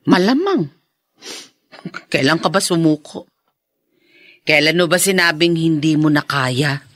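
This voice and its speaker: A concerned middle-aged woman confidante in a radio drama. She has a lowish voice and asks blunt, worried questions. The recording is dry and close. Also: expressive, measured pace, a bit bright, dry recording, heavily acted. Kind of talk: Filipino radio drama acting.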